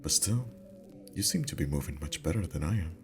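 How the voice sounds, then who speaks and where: Male actor as sweet teasing boyfriend, extremely deep pitch, soft unhurried delivery, intimate ASMR roleplay, background music. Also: lively intonation, background noise. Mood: cozy, affectionate.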